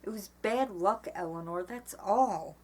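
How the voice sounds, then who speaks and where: A woman voicing a calm, weary, wry father in a storybook, with an understated manner. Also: medium pitch, a little slow, husky. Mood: defensive.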